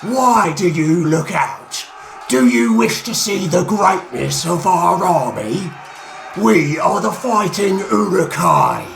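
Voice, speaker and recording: A man voicing a band of brutish male orc warriors who shout and mock. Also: sits high, very animated, slow pace, gravelly, roomy sound, soft background music, heavily acted. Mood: taunting. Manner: loud mocking shouts.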